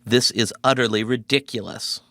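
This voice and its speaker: A man's voice: mid-range voice, moderate pace, pushed and bright, dry recording. An actor playing a guarded, sceptical male research scientist in a video game, clipped and dryly sarcastic. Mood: irritated, sceptical.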